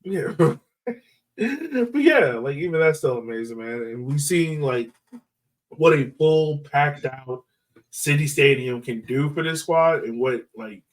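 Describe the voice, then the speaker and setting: A man's voice: on the high side, animated, takes it slowly. A man hosting a sports podcast in casual, chatty, slangy talk. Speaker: podcast host. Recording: voice slightly far from mic.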